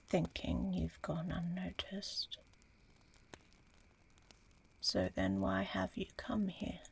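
A woman voicing an ancient female dragon in an ASMR roleplay, proud and curious, with a breathy, deliberate, teasing menace. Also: fairly low pitch, heavily acted.

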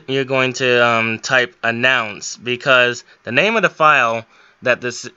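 A man's voice: mid-range voice, pushed and bright, clear, resonant tone, no room sound, muffled recording. A young man giving an informal, step-by-step screen tutorial with a somewhat hesitant way of explaining. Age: young adult. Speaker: hobbyist game modder giving a tutorial.

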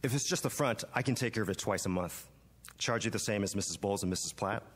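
A man's voice: fairly low pitch, quick pace, long reverb tail, close to an everyday voice. An actor playing a polite, hesitant young male student who mows lawns, in a TV drama. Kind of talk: 1980s TV drama acting.

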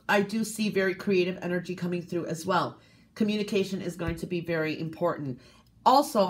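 A woman giving a weekly coffee cup reading in a gentle, casual way, often checking in with "okay?". She is congested from a cold and sniffles. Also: medium pitch. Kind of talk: casual tarot-style reading to camera.

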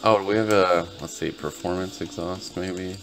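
A man's voice: low voice, fairly even intonation, moderate pace, music in the background. A tired, laid-back man giving casual, rambling gameplay commentary to his viewers as host of a gaming video. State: tired, just woke up.

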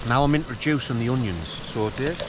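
A man's voice: lively intonation, brisk. A man in his forties with a North East England accent, chatting wryly to a handheld camera on an open hillside. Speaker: camping vlogger. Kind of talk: casual outdoor vlog narration. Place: open grassy hillside valley by stream. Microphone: handheld camera at arm's length. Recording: noisy outdoors, wind and stream rumble. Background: wind and running water.

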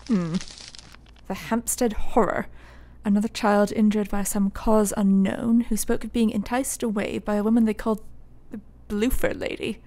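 A woman acts out a sceptical doctor in a radio play, troubled and earnest. The speech is formal and articulate, at a medium pitch for a woman. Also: steady tone, moderate pace, warm tone, close to an everyday voice. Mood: puzzled, doubtful. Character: troubled, sceptical male doctor, the professor's former student. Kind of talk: radio drama acting.

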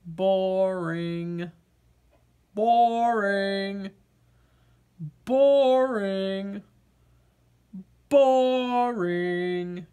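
Woman doing a low, deadpan grumpy potato. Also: extremely slow, pauses often, clear, resonant tone. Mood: bored, dismissive. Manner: flat, deadpan, dismissive. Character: grumpy, unimpressed, contrary talking potato.

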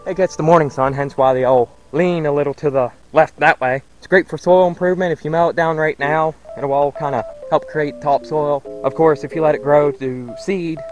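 A man's voice: fairly high pitch, fairly even intonation, a little fast, few pauses, dull audio, faint music behind. A man, giving a rambling, folksy walk-and-talk tour of his backyard farm on a camera mic, outdoors. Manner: rambling, folksy, thinks aloud. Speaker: homesteading vlogger. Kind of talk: casual walk-and-talk vlog.

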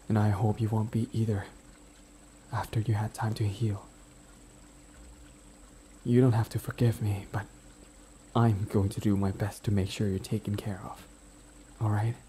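A man playing a gentle, timid, grieving best friend in an intimate audio roleplay, speaking softly and haltingly as he confides. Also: on the low side, close to an everyday voice. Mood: tender. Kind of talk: boyfriend ASMR audio roleplay, intimate dramatic acting. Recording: noticeable background ambient noise.

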